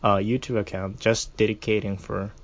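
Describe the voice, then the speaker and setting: A man's voice: on the low side, little pitch movement, moderate pace, highs cut off. A young man from Japan with a Japanese accent, chatting as a podcast guest, hesitant with many fillers and restarts. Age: young adult. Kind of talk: casual interview chat.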